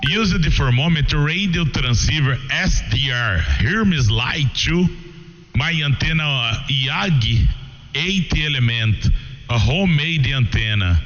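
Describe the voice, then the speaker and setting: A man's voice: medium pitch, animated, a little slow, fairly bright tone. A Brazilian man, a native Portuguese speaker, making a ham radio contact in broken English with short phrases over a radio transmission. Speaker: amateur radio operator. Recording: muffled radio audio with long reverb.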